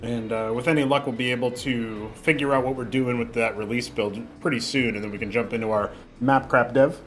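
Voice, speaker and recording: Young male streamer with a slight lingering cough, middle-pitched voice, casual rambling chat, faint music behind. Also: fairly even intonation, brisk. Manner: rambling, with frequent fillers and restarts.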